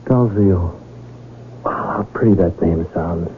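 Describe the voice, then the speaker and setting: A man's voice: low-pitched, slow pace, slight background noise. An actor in a 1970s radio drama playing a lonely, naive rich man who speaks earnestly and hesitantly. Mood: eager, awed. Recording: dull, band-limited 1970s radio recording.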